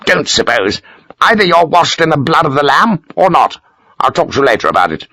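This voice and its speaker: A man voicing a gruff, pious older army major who talks in curt, self-important bursts. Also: on the high side, very animated, brisk, sharp tone, dry recording, pitched above the performer's own voice, exaggerated voice. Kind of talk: audiobook character voice.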